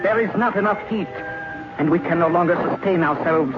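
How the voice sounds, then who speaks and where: An actor playing a cold alien invader posing as a man, speaking formally and deliberately in an old radio drama. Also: high voice, music in the background, close to an everyday voice. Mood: cold, explanatory. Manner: formal, deliberate speech without contractions. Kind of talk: 1950s radio drama acting. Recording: noisy, muffled old radio recording.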